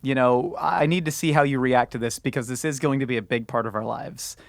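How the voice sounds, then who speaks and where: A man in his thirties hosts a casual podcast chat, telling stories in an animated way and laughing often. His pitch sits in the middle range. The mic sound is close and dry. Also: gravelly, no room sound. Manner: animated storyteller, laughs often, drawn-out words. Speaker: podcast host.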